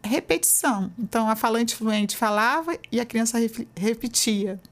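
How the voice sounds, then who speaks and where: A woman's voice: fairly high pitch, moderate pace. A woman professor with a Brazilian Portuguese accent, answering interview questions in an explanatory, conversational way, often adding "né". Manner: explanatory, uses many fillers like "né". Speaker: university professor, guest.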